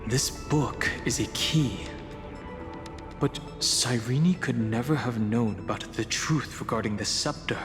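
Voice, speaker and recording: A man playing a calm, analytical, guarded young man in an anime video game, speaking in a measured, serious, protective way. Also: mid-range voice, natural voice. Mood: pensive, analytical. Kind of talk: English-dubbed anime video game acting. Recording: long hall reverb under clear music.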